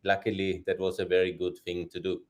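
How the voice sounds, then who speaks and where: Male podcast host, low voice, emphatic, chuckles between points. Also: little pitch movement, a little fast. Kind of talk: political interview talk.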